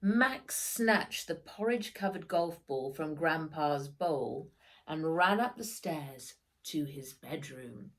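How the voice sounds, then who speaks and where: A woman reads a children's story aloud as its author. Her voice sits a little low and moves with very lively intonation. She takes her time, leaning on words with dramatic emphasis. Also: slow pace. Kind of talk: expressive children's storybook narration.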